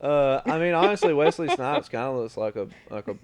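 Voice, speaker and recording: A man's voice: on the high side, sing-song, a little fast, clean tone, no echo. A man co-hosting a podcast, giving blunt, plain-spoken opinions in casual chat. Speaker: podcast co-host.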